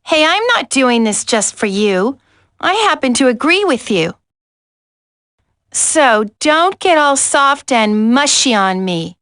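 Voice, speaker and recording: Sassy game support specialist: high voice, measured pace, no-nonsense, wry and capable. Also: female voice, big pitch swings, fairly bright tone, clear, resonant tone, no room sound, clean studio sound, full character voice. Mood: wry, teasing. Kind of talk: video game voice acting.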